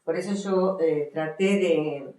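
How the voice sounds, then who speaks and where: A woman's voice: low voice, slow pace, warm tone. An Argentine woman, an author and historian, presenting her work as a guest and recounting it to listeners. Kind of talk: book presentation, reading aloud and recounting. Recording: echoey room, faint music.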